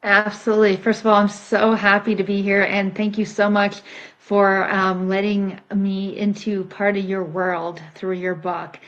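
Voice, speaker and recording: A woman's voice: mid-range voice, level tone, measured pace, a bit bright, lo-fi sound. A woman from Ontario, Canada, co-hosting, introducing herself and asking questions in an upbeat manner. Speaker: co-host.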